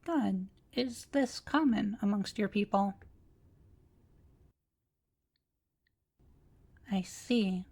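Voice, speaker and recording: A woman's voice: mid-range voice, lightly acted. Woman voicing a formal, precise automaton librarian. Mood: formal, reflective. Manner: formal, precise, measured diction. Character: ancient female automaton librarian, courteous, formal, calmly curious. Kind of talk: scripted roleplay audio drama addressed to listener.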